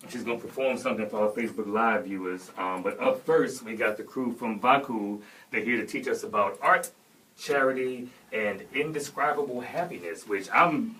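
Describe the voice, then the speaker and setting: A man's voice: medium pitch, moderate pace, bright, forceful tone, distant mic, rough recording. A man hosting a casual radio talk show, plugging local podcasts in loose slang. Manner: laid-back, promotional, local slang.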